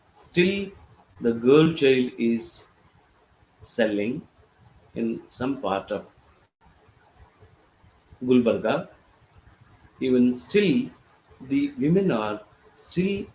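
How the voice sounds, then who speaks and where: Slow, animated Indian man with echo. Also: medium pitch. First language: Gorboli. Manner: halting, many fillers and restarts. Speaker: panelist. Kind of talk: panel discussion talk.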